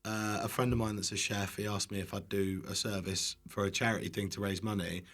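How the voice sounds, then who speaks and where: A man's voice: on the low side, little pitch movement, a little fast, a bit bright. A British man telling casual anecdotes as a guest, in a self-deprecating way with some casual swearing. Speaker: guest, internet cooking creator.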